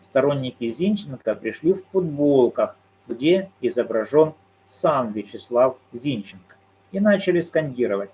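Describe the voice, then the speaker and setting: A man giving solo commentary on a court case, arguing his points in a measured, deliberate way and answering his own rhetorical questions. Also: mid-range voice, expressive, darkish tone, slight background noise, rough recording. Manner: argumentative, poses rhetorical questions and answers them.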